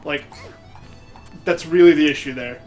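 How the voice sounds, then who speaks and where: A man's voice: fairly high pitch, a little slow, a little mellow. A man chatting casually and sarcastically as he plays and comments on a video game. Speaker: gaming video co-host playing the game. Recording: game music behind the voice. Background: video game music.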